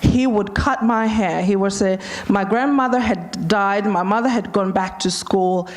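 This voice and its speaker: An Australian woman shares her own story as a panelist. She speaks very slowly and haltingly, with measured pauses. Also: medium pitch. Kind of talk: personal storytelling.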